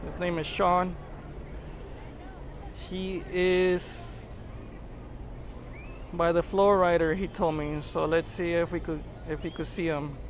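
Guy flying a drone outside, chatty and amazed, kinda high voice, traffic noise behind. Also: slow, long pauses. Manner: excited, chatty, marveling. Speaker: drone videographer. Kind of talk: casual live narration while flying a drone.

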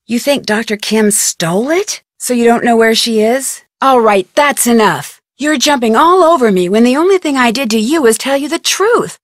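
A woman voicing a plucky, polite young amateur detective in a video game, with an American accent and clear, articulate delivery. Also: on the high side, no echo, clean studio sound. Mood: indignant, firm. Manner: clear, articulate, friendly direct address. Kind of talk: scripted video game dialogue and tutorial narration.

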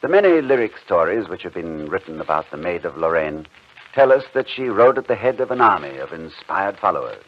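Low-voiced man narrating old radio drama. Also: sing-song, a little fast. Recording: dull, noisy old radio recording.

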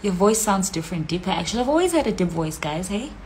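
A woman's voice: on the low side, sing-song, quick pace, a little mellow, slight background noise. A young South African woman chatting casually on a phone live stream from a small room, reading out comments with a playful manner that drops into whispers. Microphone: phone at arm's length.

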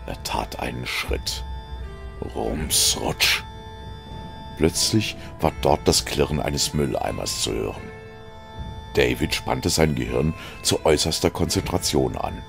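A man's voice: very deep voice, creaky. A man narrating a scripted audio story in a dramatic reading style.

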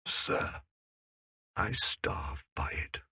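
A man's voice: very slow, sharp tone, no echo, exaggerated voice. A man playing a little elderly shopkeeper in an audio drama, gloomy, wry and dry in manner. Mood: doleful. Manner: doleful, dry. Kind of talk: audio drama character acting.

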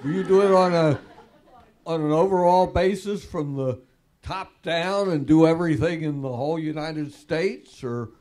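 Man, audience questioner, playful, laughing, somewhat high voice, conference room. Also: moderate pace, a little mellow. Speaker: audience member asking a question. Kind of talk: question from the floor.

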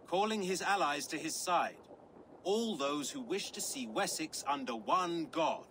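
Defiant game knight: sharp-edged, scornful, deliberate delivery, faint ambience behind. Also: male voice, fairly high pitch, slight background noise, rough recording. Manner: contemptuous, deliberate. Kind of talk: dramatic video game acting.

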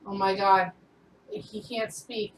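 A woman's voice: medium pitch, flat delivery, slow pace, echoey room, distant mic, slight background noise, low-quality recording. A woman playing a video game with a friend, chatting casually, laughing often and getting flustered. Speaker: gaming video host. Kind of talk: casual gameplay chat.

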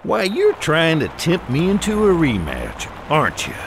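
An actor voicing a gruff older police car sheriff in an animated game, with a folksy, scolding manner. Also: male voice, fairly high pitch, a little slow, background noise, heavily acted. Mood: challenging. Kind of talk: animated game cutscene acting.

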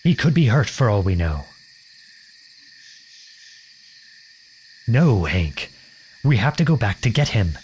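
A man playing an earnest, protective young outlawed prince in an audio roleplay, with a gentle, unhurried, folksy manner. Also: lowish voice, sing-song, a little hiss or hum. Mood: stern, worried. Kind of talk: ASMR roleplay acting.

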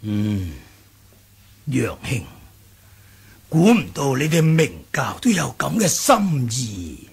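Elderly, kindly kung fu patriarch, Hong Kong Cantonese radio drama. Very raspy, unhurried, sighing. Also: male voice, mid-range voice, big pitch swings, full character voice. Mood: gentle, curious. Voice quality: husky, gravelly old voice. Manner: slow, sighing. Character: aged, kindly martial arts grandmaster.

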